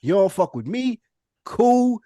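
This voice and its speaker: A man's voice: sits high, very animated, slow pace, warm tone, dry recording, studio quality. A man talking casually in a group podcast discussion, blunt and slangy, with easy swearing. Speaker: podcast panelist.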